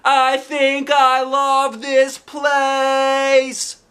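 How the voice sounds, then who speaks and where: A man voicing a blunt, excitable kindergarten girl who hollers childishly in a children's storybook. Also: very high-pitched, very slow, pitched above the performer's own voice, full character voice. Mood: excited, loud. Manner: loud hollering, childish phrasing.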